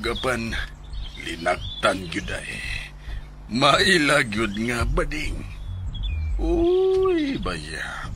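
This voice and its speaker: A man's voice: on the low side, full character voice. A man acting in a radio drama as a gossipy, leering henchman who chuckles and mutters to himself, eager to please his boss. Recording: loud music behind the voice.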